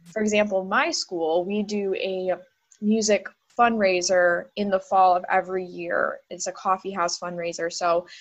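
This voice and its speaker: Encouraging woman interviewer, mid-pitched. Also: level tone, unhurried. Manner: encouraging, long run-on explanations. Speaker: interviewer, music teacher. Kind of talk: casual conversational interview.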